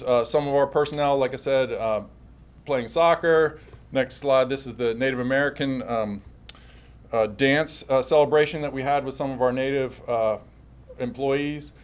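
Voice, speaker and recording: A man speaking as a police chief at a public briefing with slides, often repeating and correcting himself as he goes. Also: mid-range voice.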